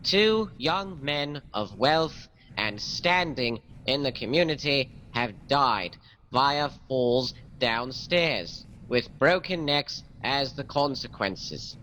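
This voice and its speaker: An actor playing a deferential, methodical police sergeant in a radio mystery, careful and deliberate. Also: male voice, mid-range voice, lively intonation, bright, forceful tone, slight background noise, poor audio. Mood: dutiful. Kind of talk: radio mystery drama acting.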